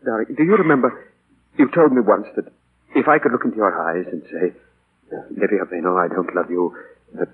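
An anguished middle-aged playwright in a 1940s radio play. His low voice is very husky and breaks into halting, earnest repetitions. The old broadcast sounds thin and boxed-in. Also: phone-quality sound. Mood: pained, halting. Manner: halting, earnest, repeats words in distress. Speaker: radio actor. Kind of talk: 1940s radio drama acting.

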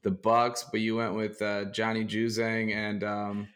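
A young man co-hosting a casual sports podcast, hoarse and raspy from a sinus infection, talking in a relaxed, jokey way. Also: lowish voice, measured pace. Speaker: co-host.

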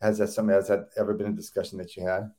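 A man's voice: low voice, level tone, fast talker, mellow. A man hosting a casual interview, enthusiastic and often repeating or restarting words. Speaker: host.

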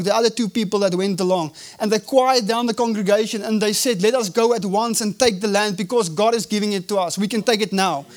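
A South African man whose first language is Afrikaans preaches a sermon in a strikingly high-pitched voice. He leans hard on key phrases, drawing them out slowly, and often restarts his sentences mid-thought. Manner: preaching with emphatic drawn-out phrases and frequent restarts. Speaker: pastor.

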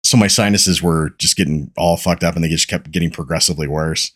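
Low-voiced stuffy podcast host. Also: male voice, fairly quick, fairly bright tone, slightly rough. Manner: rambling, profane, laid-back. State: congested, losing his voice. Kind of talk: casual podcast chat.

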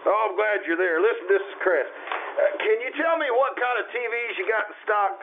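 An actor playing an excitable, hurried small-town store owner in a radio play, flustered and stammering. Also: male voice, high-pitched. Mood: brisk, cheerful. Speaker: amateur radio troupe actor. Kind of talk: old-time radio drama acting before an audience. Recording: tinny, noisy, band-limited stage radio-play recording.

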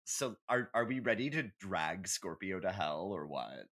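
A man co-hosting a podcast in casual, animated banter, joking as he goes. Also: low voice, measured pace. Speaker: podcast co-host.

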